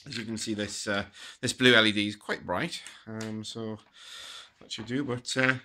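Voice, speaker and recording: A man giving a casual, halting demonstration of a homemade gadget. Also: lowish voice, fairly even intonation, heavy background noise. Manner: hesitant, halting explanation. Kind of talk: casual unscripted product demonstration. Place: indoors at a wooden table.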